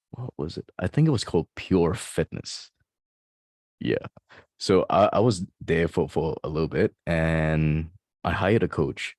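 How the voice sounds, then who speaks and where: A man with a deep, resonant, bassy voice, a fitness coach telling his story in an interview. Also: a little mellow, dry recording, clean studio sound. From Malaysia.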